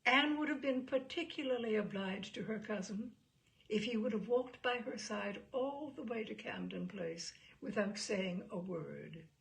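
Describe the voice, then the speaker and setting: A woman narrates classic literary fiction in a measured, expressive way. Her voice sits at a middling pitch, with plenty of gravelly fry running through it. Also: rough recording. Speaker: audiobook reader. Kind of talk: literary narration of a classic novel, voicing dialogue.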